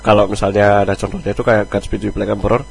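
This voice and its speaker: A man's voice: lowish voice, flat delivery, quick pace, gravelly, husky, faint music behind. A man chatting casually as a band musician being interviewed, hesitant and thinking aloud.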